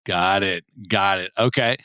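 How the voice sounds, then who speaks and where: Male podcast host, upbeat, long rambling questions, bright and dry. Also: sits low, unhurried, smooth, dry recording. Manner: enthusiastic, rephrases questions at length. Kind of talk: interview questions.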